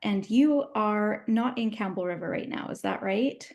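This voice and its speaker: A woman's voice: mid-range voice, measured pace, darkish tone. A woman hosting an interview over a video call, asking questions in a friendly, meandering way with plenty of fillers. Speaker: podcast host.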